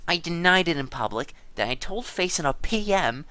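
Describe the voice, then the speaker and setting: A man's voice: fairly high pitch, lively intonation, normal speed, bright, forceful tone, no room sound. A man playing an aggrieved, defiant gamer in a theatrical, emphatic dramatic reading of online drama. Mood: indignant. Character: aggrieved, defiant gamer indignantly denying theft.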